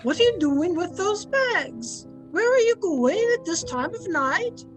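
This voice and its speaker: A woman's voice: very high voice, big pitch swings, a little slow, music in the background, full character voice. A woman playing a blunt, devoted household servant who scolds, in old-time radio drama style. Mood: suspicious, scolding. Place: at home, recorded over a video call.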